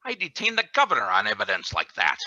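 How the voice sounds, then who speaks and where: A man plays a stern, officious security chief in a radio play. His voice is very bright and forceful, with lively swings of pitch. He insinuates and accuses like an interrogator. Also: fast, dull audio, heavily acted. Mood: accusing. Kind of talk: radio play script reading.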